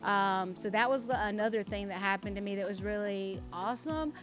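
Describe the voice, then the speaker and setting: A woman's voice: mid-range voice, normal speed, music in the background. A woman from South Carolina with a Southern country accent, telling her story in a chatty interview style and filling her talk with "like". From South Carolina, USA. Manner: chatty storytelling, heavy use of "like". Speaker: guest, influencer. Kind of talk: casual interview chat.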